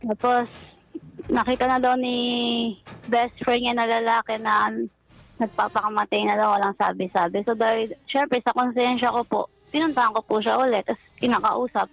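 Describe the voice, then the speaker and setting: A woman's voice: a little high, flat delivery, moderate pace, vocal fry, low-quality recording. A young woman with a Filipino accent calling a radio show, politely recounting a personal story. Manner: polite, deferential. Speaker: caller. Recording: radio phone-in with music behind.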